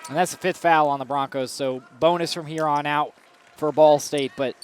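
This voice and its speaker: A man's voice: fairly high pitch, fairly even intonation, moderate pace, smooth. A man working as color analyst on a live basketball broadcast from an arena, breaking down plays. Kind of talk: live analytical sports commentary. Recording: noisy live arena broadcast, bouncing balls.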